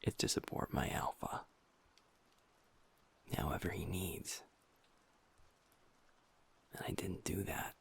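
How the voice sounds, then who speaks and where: Soft sad werewolf bf, a guy doing it, super husky half-whispered voice, gravelly, audio rp. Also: low-pitched, slow, long pauses, heavily acted. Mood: subdued. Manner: soft, hushed, intimate talk to a partner. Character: gentle young male werewolf boyfriend, pack beta, guilt-ridden. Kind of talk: audio roleplay.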